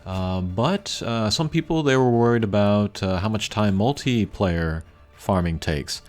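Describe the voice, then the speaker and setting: A man with a fairly low voice commentates on a video game in a casual, unscripted style. He is low-key and rambles a little, pausing often as he thinks. Game music plays behind him. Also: lively intonation, a little mellow.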